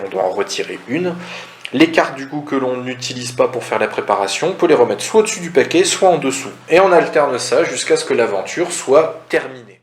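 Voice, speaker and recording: A man with a mid-range voice walks through board game rules step by step in a roomy, echoing space. He is conversational and didactic, posing questions and answering them himself, with some noise in the recording. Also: medium pitch. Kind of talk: casual step-by-step tutorial. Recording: roomy echo, audible background noise.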